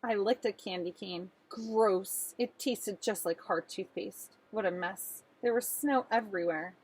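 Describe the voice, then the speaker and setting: A woman's voice: medium pitch, unhurried, darkish tone, slight background noise, low-quality recording. A woman teacher reading a children's storybook aloud expressively, speaking directly to young listeners.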